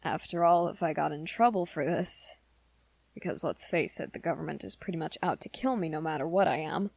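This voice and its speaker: A woman voicing a shy, earnest, lovestruck young male werewolf in an ASMR romance roleplay, with halting, hesitant, soft-spoken delivery. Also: deep voice, creaky, no room sound. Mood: guilty, pleading.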